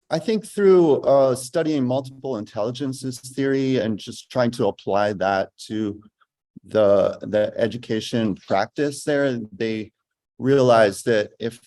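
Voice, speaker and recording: A man's voice: medium pitch, dark tone. A man speaking on a panel as a school director, thoughtful and restarting often. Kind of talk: panel discussion talk.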